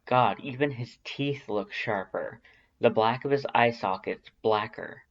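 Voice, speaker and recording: A man's voice: medium pitch, a little slow, lo-fi sound. A man narrating scripted fiction in a dramatic storytelling style.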